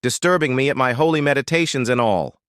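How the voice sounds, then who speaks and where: A man's voice: medium pitch, fast talker, fairly bright tone, no echo, studio quality, voice pushed up, close to an everyday voice. A man playing a pious old priest from a storybook, pompous, exclamatory and easily rattled. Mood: dismissive.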